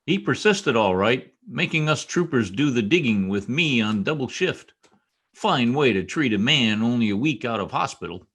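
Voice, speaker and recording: Casting: actor as put-upon state trooper, raspy male voice with animated pitch, complaining, radio-play delivery. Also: moderate pace. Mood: grumbling. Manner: complaining, wry. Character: grumbling state trooper, newly married. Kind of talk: old-time radio drama acting.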